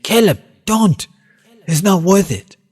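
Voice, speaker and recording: A man's voice: high voice, animated, takes it slowly, darkish tone, slightly rough, dry recording, voice pushed up, full character voice. A man voicing a tough, determined teenage boxer and protective older friend in a dramatized story, streetwise and urging. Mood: tense warning.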